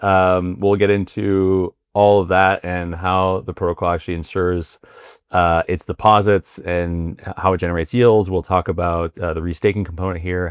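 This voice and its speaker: A man hosting a podcast interview, asking questions in a halting way with many fillers and restarts. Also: low-pitched, smooth, no echo, studio quality. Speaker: podcast host. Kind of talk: casual interview with read ad intro.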